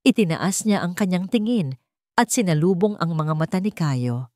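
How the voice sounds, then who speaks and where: Female storyteller for scripted romance drama: lower-register voice, dry studio-like sound. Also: animated, normal speed, a little mellow, no echo. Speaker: narrator.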